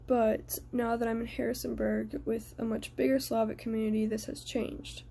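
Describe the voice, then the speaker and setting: A young woman from the United States giving a prepared personal reflection in a measured, earnest way. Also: fairly high pitch, flat delivery, vocal fry, warm tone.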